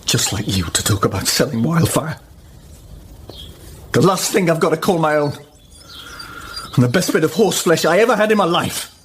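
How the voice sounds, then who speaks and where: An actor playing a proud, cornered young squire's son, bitter and indignant in a period radio drama. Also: male voice, a little high, animated, normal speed, pauses often, a little hiss or hum, lightly acted. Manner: indignant, tense, clipped threats.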